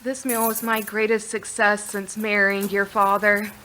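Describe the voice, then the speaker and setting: Woman playing a bright-voiced, homely mother. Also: mid-range voice, level tone, a little slow, slight background noise, poor audio. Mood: fond, wistful. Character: devoted, plain-spoken mother of a poor family. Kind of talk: radio play acting.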